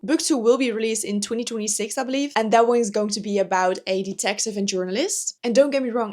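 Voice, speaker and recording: A woman in her twenties from the Netherlands, a native Dutch speaker, reviewing books in a chatty, enthusiastic way with frequent laughs and soft asides. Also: a little high. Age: 20s. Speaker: book vlogger. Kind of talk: casual book review chat.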